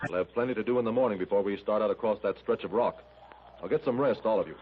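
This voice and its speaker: Man as a brisk, decisive jungle hero, quick speaker, muffled old radio recording with faint hiss. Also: on the low side, close to an everyday voice. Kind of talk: 1930s radio acting.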